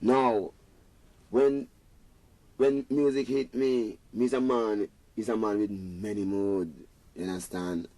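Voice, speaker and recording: A man's voice: lowish voice, steady tone, slow pace, dark tone, dry recording. A Jamaican man, a reggae singer being interviewed, talking in an earnest, rambling way with patois phrasing. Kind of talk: casual interview talk.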